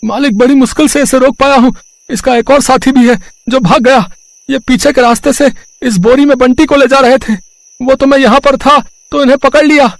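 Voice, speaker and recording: Guy doing a really high voiced poor farmer, humble n earnest, moral story cartoon style, dry sound. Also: fairly even intonation, brisk, dry recording. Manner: earnest, deferential pleading. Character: poor, humble, pleading Indian village farmer. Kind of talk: dramatised moral story acting.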